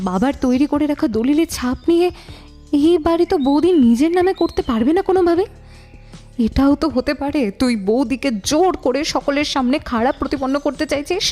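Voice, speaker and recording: A woman playing an irritated, sharp-tongued married woman in an audio detective drama, curt, scornful and suspicious. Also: sits high.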